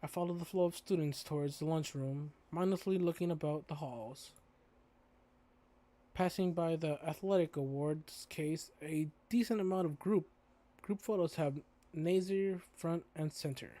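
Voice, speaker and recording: A raspy-voiced streamer reading a visual novel aloud in a casual playthrough, stumbling over and rereading some lines. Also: mid-range voice, measured pace, mellow. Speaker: game streamer.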